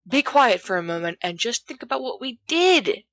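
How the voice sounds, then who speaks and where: A woman's voice: fairly high pitch, sing-song, moderate pace, fairly bright tone, no echo, clean studio sound, pitched above the performer's own voice. A woman voicing a haughty, mocking schoolboy wizard in a storybook reading, with a withering drawl. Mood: teasing.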